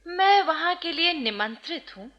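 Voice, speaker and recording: A woman's voice: very high voice, expressive, moderate pace, sharp tone, lo-fi sound, heavily acted. A woman playing a bold, enigmatic young court dancer in a radio drama, speaking in a theatrical, poised and teasing way. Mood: coy, teasing. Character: bold, enigmatic young dancing girl in love. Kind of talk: theatrical Hindi radio-play acting.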